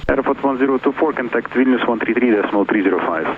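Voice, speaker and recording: A man's voice: mid-range voice, expressive, quick pace. A man working as an air traffic controller, giving procedural instructions over aviation radio. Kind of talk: clipped procedural radio phraseology. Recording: tinny noisy aviation radio.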